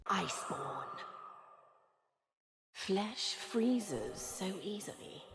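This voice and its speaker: A woman's voice: medium pitch, animated, crowd noise. An actress voicing an ancient, cold and haughty ice witch queen as a video game villain, imperious and menacing. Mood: cold menace. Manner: imperious, deliberate, icy menace. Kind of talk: video game character voice lines. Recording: clearly noisy, played game audio clips.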